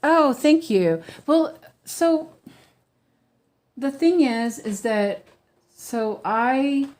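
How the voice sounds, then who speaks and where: Relaxed American woman, slow and rambling, chatting to viewers while she stitches. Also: high-pitched. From United States. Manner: rambling, chatty, frequent ums and restarts, laughs easily. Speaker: weaving teacher. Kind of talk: casual stitch-along chat.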